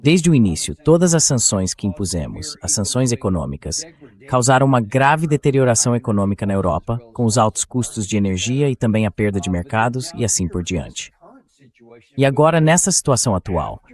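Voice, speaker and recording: A man with a fairly low voice speaks as a dubbed interview host. His political commentary is conversational and wordy, laid out in long explanatory questions. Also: a little mellow. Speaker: translated voice-over of interview host.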